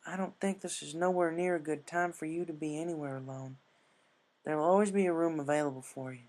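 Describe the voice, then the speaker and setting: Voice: mid-range voice, fairly even intonation, brisk, a little mellow, voice pushed up, lightly acted. A narrator voicing a caring, folksy young female farm pony with an earnest, homespun way of talking.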